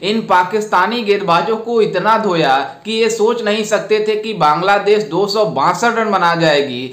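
A young man hosting a reaction video, talking to viewers in an excited, emphatic way. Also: high voice. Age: young adult. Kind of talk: casual commentary to viewers. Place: indoor room with a plain wall. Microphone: on-camera, facing the lens. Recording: roomy echo.

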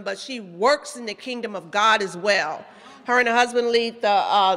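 Woman, ministry leader, affectionate introduction, fairly high voice, long hall reverb. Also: a bit bright, slight background noise. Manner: affectionate, slightly halting. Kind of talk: warm introduction. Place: large hall, indoors. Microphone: stand mic at podium.

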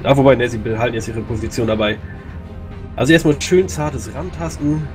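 A man casually commentating a video game match, chatty and quick to react. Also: medium pitch, over music. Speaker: esports commentator.

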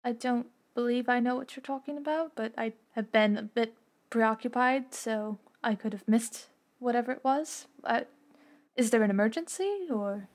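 A woman's voice: fairly high pitch, normal speed. A woman playing a tabletop game, speaking as her character in a casual, polite way. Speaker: tabletop role-play player. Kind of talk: casual in-character role-play.

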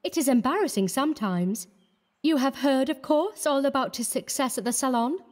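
A woman's voice: high voice, normal speed, a little mellow, natural voice. A woman voicing a coquettish, sweetly malicious young Parisian lady in a storybook reading. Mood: amused, teasing. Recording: echoey hall-like reverb.

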